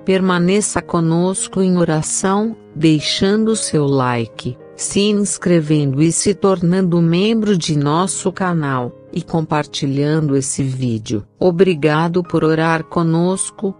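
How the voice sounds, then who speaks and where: Slow, low-voiced Brazilian woman reading prayers. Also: a little mellow, faint music behind. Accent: Brazilian Portuguese. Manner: solemn, measured recitation. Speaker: prayer reader.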